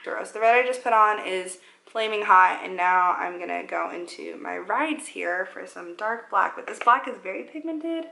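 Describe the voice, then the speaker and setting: A woman's voice: mid-range voice, normal speed, vocal fry, hardly any pauses, bright, forceful tone. A young woman in her twenties chatting through a makeup tutorial at home, rambling and poking fun at herself as she works. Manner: rambling, self-deprecating, talks while applying makeup. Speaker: vlogger. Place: living room at home, indoors. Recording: echoey room.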